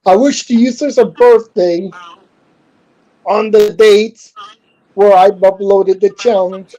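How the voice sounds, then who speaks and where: A man's voice: high voice, steady tone, takes it slowly, mellow, highs cut off. A man from Houston hosting a casual stream chat, hesitant and groping for words while quizzing his guests. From Houston, Texas. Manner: hesitant, many fillers and restarts, quizzing guests. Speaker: stream host.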